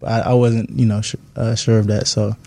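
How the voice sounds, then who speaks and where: A man in his twenties giving casual interview answers as an athlete, phrasing things haltingly. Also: fairly low pitch, level tone, gravelly, darkish tone. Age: 20s. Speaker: professional basketball player being interviewed.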